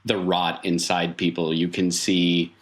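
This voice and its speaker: Guy with a lowish voice running an rpg, kinda halting, making up scenes as he goes. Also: level tone. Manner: halting, thinking aloud, repeats words for emphasis. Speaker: game master. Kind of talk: improvised narration of a tabletop roleplaying game.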